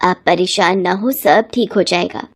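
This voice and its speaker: A woman voicing a practical, reassuring farmer's wife in an animated moral story, persuasive and matter-of-fact. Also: lowish voice, unhurried, gravelly, husky, dry recording, full character voice.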